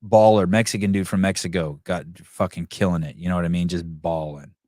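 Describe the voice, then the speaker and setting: A lower-pitched man hosts a casual podcast chat. He talks in an animated, profane way and slips into voices when he tells a story. The sound is close and dry. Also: brisk, no echo. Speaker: podcast host. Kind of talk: casual banter. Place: California home.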